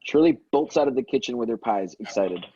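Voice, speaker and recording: A man's voice: medium pitch, expressive, fairly quick, mellow, muffled recording, a little hiss or hum. A man reading screenplay action lines as narration at a table read. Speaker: stage-direction reader at a table read.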